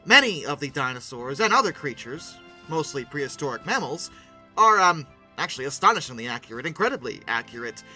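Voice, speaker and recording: Male commentator, swooping lively delivery and theatrical emphasis, very sharp forward tone, music underneath. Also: fairly high pitch, a little fast, low-quality recording. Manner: animated, playful, theatrical emphasis. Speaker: video host, game reviewer. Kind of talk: conversational video essay commentary.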